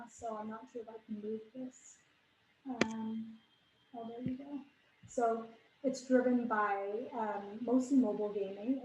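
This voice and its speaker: A young woman from Canada walks an online audience through her slides, speaking casually and stopping often for fillers and restarts. Her voice sounds mellow and a little dark. It is picked up by a computer mic in an echoey room with a faint hum behind it. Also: fairly high pitch. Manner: many fillers and restarts, conversational. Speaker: conference speaker. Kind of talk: online conference presentation.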